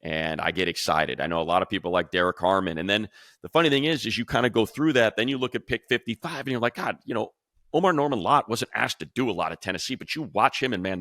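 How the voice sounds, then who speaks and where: Deep-voiced man talking sports, bright and forceful. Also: dry recording, clean studio sound. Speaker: sports show host and analyst. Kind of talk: casual sports analysis talk.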